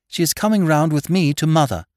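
Storybook role: young noble lady done by a male reader, high-pitched, headstrong, imperious. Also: fairly quick, darkish tone, dry recording, clean studio sound, lightly acted. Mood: determined. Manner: emphatic, imperious. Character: determined young aristocratic lady, impulsive and protective.

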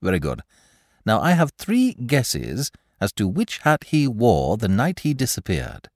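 A man reading aloud, voicing a flippant, excitable aristocratic amateur detective. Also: measured pace, no room sound, studio quality, heavily acted. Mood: playful. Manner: flippant, theatrical, high-spirited.

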